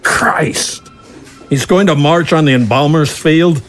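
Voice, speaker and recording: A man's voice: fairly high pitch, slow, faint music behind. A man playing an impatient, hawkish army general in an audio drama. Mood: alarmed, insistent.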